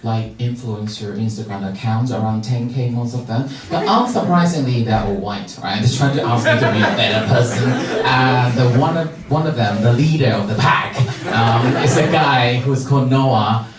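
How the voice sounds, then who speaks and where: A young man from Taiwan with a Taiwanese accent, performing a stand-up comedy set with camp, bitchy deadpan asides. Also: mid-range voice, normal speed, few pauses, over music. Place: comedy club stage with a laughing crowd. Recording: echoey club, distant mic, loud crowd noise.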